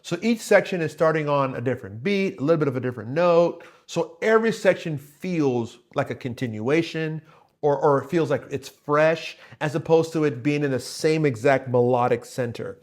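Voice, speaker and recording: A man's voice: a little high, normal speed. A man hosting a casual songwriting tutorial, talking to viewers in a conversational, encouraging and explanatory way. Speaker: host, worship songwriting teacher.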